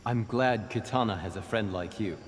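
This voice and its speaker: An actor playing a steadfast, dutiful young warrior monk in a video game, earnest and firm. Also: male voice, lowish voice, normal speed, close to an everyday voice. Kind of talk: video game fight dialogue. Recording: reverberant hall, loud game noise.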